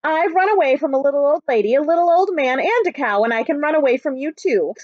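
A woman's voice: high voice, lively intonation, quick pace, darkish tone, no echo, muffled recording. A woman voicing a boastful runaway little cheese man from a picture book, with a sing-song delivery. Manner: sing-song taunting chant.

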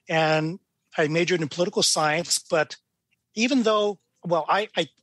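American male, 60s, judge as podcast guest, lighter higher pitch, casual storyteller, dry recording. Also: fairly bright tone, breathy edge, no room sound. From California, United States.

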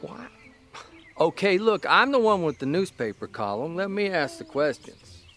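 A man's voice: high-pitched, big pitch swings, moderate pace, fairly bright tone, soft background music. An American man playing a wry, teasing newspaper columnist in an audio drama. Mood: playful, amused. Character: wry, teasing, middle-aged travelling newspaper columnist husband. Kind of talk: audio drama dialogue and storytelling.

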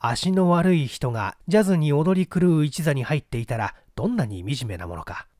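Lively-pitched man reading mystery fiction. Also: dry recording, studio quality. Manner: measured storytelling with formal literary phrasing. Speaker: literary narrator, stage performer.

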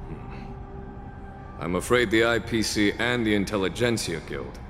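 An actor voicing a stately, composed general in a video game, speaking with calm authority. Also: male voice, low-pitched. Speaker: game character. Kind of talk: dubbed game dialogue. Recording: long reverb with game music.

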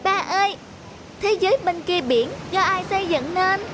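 Small boy for animation. High voice, eager, full of wonder, over music. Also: normal speed. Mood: excited. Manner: bright-eyed, wondering. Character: chubby little boy, eager, dreamy and curious. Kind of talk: animated moral tale dialogue. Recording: music behind the voice.

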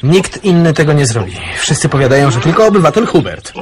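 An actor playing a blunt, teasing messenger from the underground in a radio play. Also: male voice, a little high, very animated, fast, crowd noise. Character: blunt, teasing man from the underground, bringing urgent news.

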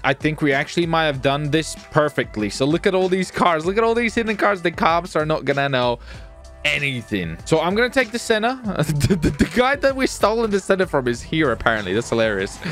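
A man giving casual, excited commentary on his gameplay, chatty and often repeating his phrases. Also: on the high side. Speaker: gaming video host and player.

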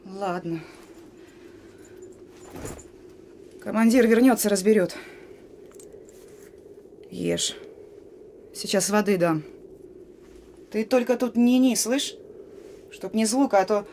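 An actress playing a stern, suspicious young partisan sentry in a radio play, giving brusque, folksy orders. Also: female voice, mid-range voice, slow, pauses often, mellow, a little hiss or hum. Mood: wary, gruff. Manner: brusque, rustic colloquial commands. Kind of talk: Russian radio play acting. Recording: radio drama with music.

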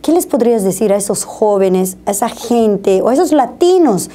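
A woman's voice: a little high, lively intonation, normal speed, dark tone. A middle-aged woman, hosting an interview in a TV studio. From Bolivia. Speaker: TV show host. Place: small indoor TV studio.

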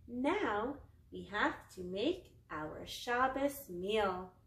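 A woman voicing a patient mother who explains things deliberately in a children's picture book. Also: mid-range voice, lively intonation, fairly bright tone, full character voice. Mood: patient, firm. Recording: roomy indoor echo.

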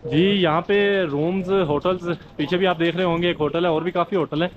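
Pakistani guy vlogging on a crowded snowy street, kinda high voice, chatty, laughs a lot. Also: fairly even intonation, a little fast, highs cut off, crowd noise. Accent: Pakistani Urdu. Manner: chatty, laughs at his own remarks. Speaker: travel vlogger. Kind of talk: casual walking vlog commentary. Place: outdoors on a snowy busy street. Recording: crowded outdoor street noise.

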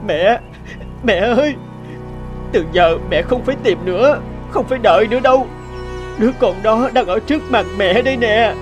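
Man as a earnest young son, expressive, higher voice, cartoon drama, music behind. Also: moderate pace, natural voice. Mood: joyful, emotional. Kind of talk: cartoon voice acting.

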